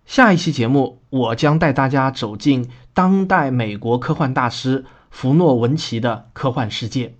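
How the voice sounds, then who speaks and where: A man's voice: medium pitch, moderate pace, hardly any pauses. A man hosting a podcast, addressing listeners in an orderly, explanatory way as he presents scripted show notes. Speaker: podcast host and radio drama writer. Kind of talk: scripted spoken show commentary.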